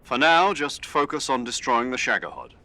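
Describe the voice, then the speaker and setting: A man playing an authoritative military commander in a video game, giving grave, measured mission briefings. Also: mid-range voice, big pitch swings, sharp tone. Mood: commanding.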